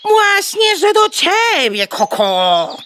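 High-pitched, indignant clucking mother hen. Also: very animated, slow pace, fairly bright tone, exaggerated voice. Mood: lecturing. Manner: clucking "koko" tics, haughty, lecturing. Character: bossy, indignant old mother hen, schoolmarmish and nagging. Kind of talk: children's radio play acting. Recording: bird chirps and noise behind. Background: birdsong, farmyard ambience.

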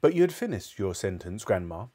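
A man's voice: lowish voice, a little fast. A man voicing a polite, patient young boy answering his grandmother. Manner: polite, calm, slightly sly.

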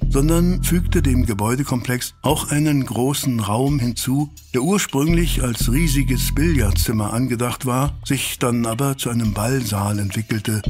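Male actor as courteous Victorian gentleman chronicler for an audio drama, formal and literary, mid-pitched, over prominent music. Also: close to an everyday voice. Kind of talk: radio play narration and dialogue.

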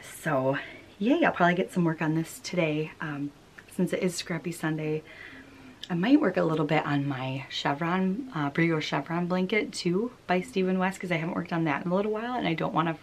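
American woman, low-pitched, chatty. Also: brisk, a little hiss or hum. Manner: chatty, informal, giggly. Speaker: knitting podcast host. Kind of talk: casual vlog chat. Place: small wood-panelled room at home.

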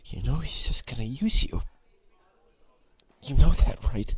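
A man playing a quiet, obsessive, possessive schoolboy in an ASMR roleplay, halting and breathy. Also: mid-range voice, expressive. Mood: bitter, upset. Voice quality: husky, breathy. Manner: halting, stammering, brooding. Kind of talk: ASMR roleplay, intimate acted monologue.

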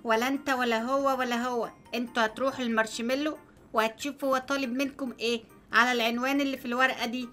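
A scheming fox, played by a woman, drops into a lower, hushed voice to beg and plot in an Egyptian accent. It speaks quickly and wheedles, greedy and sly, like a game-video villain. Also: steady tone, soft background music, lightly acted. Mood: wheedling. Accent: Egyptian Arabic.

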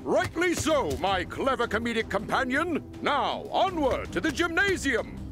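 A man's voice: very high voice, huge pitch swings, measured pace, music in the background, full character voice. A man playing a verbose psychic superhero mentor in a cartoon game, over-the-top, cheesy and adoring. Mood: bombastic enthusiasm.

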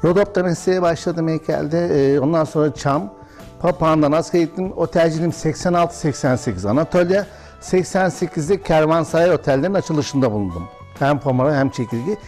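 A man in his fifties from Bursa, a hotel and restaurant owner answering interview questions in a measured way with frequent hesitations. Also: fairly high pitch, a little mellow, faint music behind. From Bursa, Turkey. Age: 50s. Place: historic restaurant dining room, indoors.

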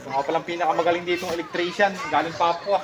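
A Filipino man with a high voice chips in with casual, joking remarks as a crew member. Other voices chatter clearly behind him. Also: fairly even intonation, fast talker. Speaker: construction coworker. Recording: worksite with loud background chatter.

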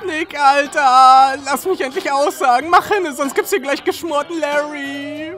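A woman voicing a loudmouthed, whiny young male defendant in an animated courtroom drama, speaking in excitable slang. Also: high voice, a little slow. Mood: impatient, brash. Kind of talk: animated courtroom fan dub acting. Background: background music.

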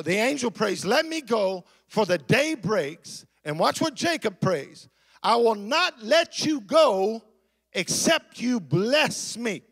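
A man preaching an impassioned sermon to a congregation in an emphatic, declamatory way, repeating phrases for effect, with a gravelly voice. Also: high voice, a bit bright, no echo.

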